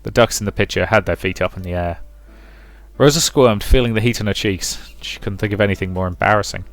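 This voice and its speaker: A man's voice: lowish voice, a little fast, dry recording. A man doing a let's play, reading a visual novel aloud and dropping in casual, chatty asides.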